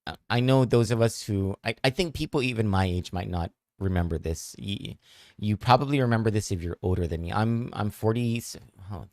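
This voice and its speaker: A man hosts a live news analysis show, fielding viewer questions. He speaks in a lowish voice, stopping and restarting often as he thinks things through. The sound is dry and close. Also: brisk, darkish tone, no echo. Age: 40s. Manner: thoughtful, hesitant, many fillers and restarts.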